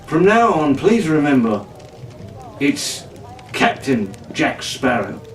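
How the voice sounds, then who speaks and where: A man's voice: fairly high pitch, big pitch swings, slow pace, soft background music. A man voicing a cocky, cheerful teenage pirate captain with a breezy, swaggering, commanding manner. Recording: roomy, distant, noisy home recording.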